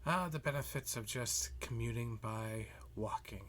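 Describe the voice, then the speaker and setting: A man playing a weary, jealous math teacher and best friend in a roleplay monologue, halting and muttering with a breathy voice. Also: mid-range voice, fairly bright tone, a little hiss or hum, natural voice. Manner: halting, muttering, frequent sighs. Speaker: audio roleplay performer. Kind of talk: slow roleplay monologue to the listener.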